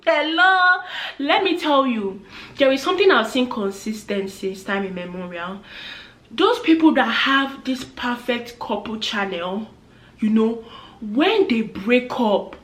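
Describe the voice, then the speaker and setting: Chatty young woman with a roomy echo. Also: on the high side. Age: 20s. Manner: earnest, chatty, acts out quoted voices. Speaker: vlogger. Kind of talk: casual advice talk to camera. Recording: echoey room.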